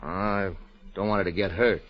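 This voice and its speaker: An actor playing an unruffled, tough nightclub grifter in a 1940s radio crime drama, offhand and slangy. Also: male voice, low voice, steady tone, moderate pace, lightly acted. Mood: subdued. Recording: dull old radio recording, faint music.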